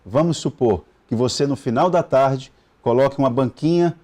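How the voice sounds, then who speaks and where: Hesitant male TV host, mellow voice. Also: mid-range voice, moderate pace. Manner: hesitant, many fillers and restarts. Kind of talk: live TV interview. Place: TV studio.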